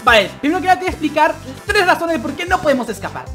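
High, excitable gamer. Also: male voice, racing. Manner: playful, excitable, teasing. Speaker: gaming roleplay creator. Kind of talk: comedic Minecraft roleplay. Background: background music.

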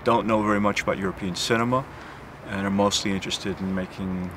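An older man talks in a measured, thoughtful way, pausing often. His voice sits a little low. He is recorded outdoors on a rooftop, and city noise and passing traffic are clearly heard behind him. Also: steady tone. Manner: measured, reflective, with hesitant fillers. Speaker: visiting film teacher. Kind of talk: interview.

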